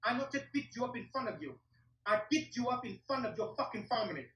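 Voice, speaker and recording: A man's voice: extremely high pitch, animated, fast, pushed and bright, slight background noise. A middle-aged man from Vietnam, a martial arts teacher telling his story in an interview in blunt, broken English and swearing often. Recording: roomy echo, distant mic.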